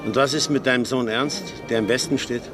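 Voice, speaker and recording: An actor playing a cautious, well-connected friend who placates and warns in a radio drama. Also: male voice, medium pitch, fairly even intonation, fairly quick, music in the background, close to an everyday voice. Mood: placating.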